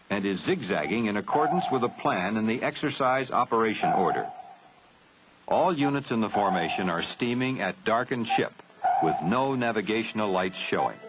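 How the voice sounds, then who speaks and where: A man's voice: mid-range voice, very noisy. Formal male narrator on an old film soundtrack. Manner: formal, measured, matter-of-fact. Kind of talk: scripted training film narration. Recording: dull 1970s film soundtrack.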